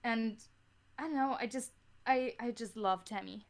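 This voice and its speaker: A young woman with a fairly high voice talks casually as a guest in an interview. She is hesitant and tends to ramble as she works out her answers. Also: normal speed. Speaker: podcast guest, creator of an audio drama.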